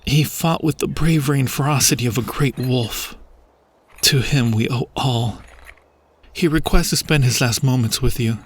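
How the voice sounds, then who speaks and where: A man's voice: mid-range voice, moderate pace, slightly rough, lightly acted. A man playing a devoted, earnest army lieutenant in a fantasy audio roleplay, formal and deferential with urgency. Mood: urgent, reverent. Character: devoted army lieutenant, earnest, reverent, higher-voiced soldier. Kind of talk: fantasy ASMR roleplay acting.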